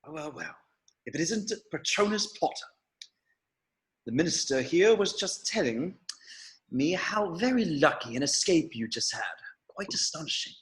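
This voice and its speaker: An actor playing a condescending, menacing aristocratic wizard, drawling and dropping into whispers in a table read. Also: male voice, on the high side, sing-song, moderate pace, fairly bright tone. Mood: smug. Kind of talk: amateur online table read of a screenplay.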